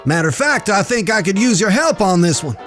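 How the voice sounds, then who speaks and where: Man acting a high-voiced, folksy Wild West marshal. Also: big pitch swings, fairly quick, a bit bright, soft background music. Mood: serious. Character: jovial, foul-mouthed frontier US marshal, old frenemy. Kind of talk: Western audio drama acting.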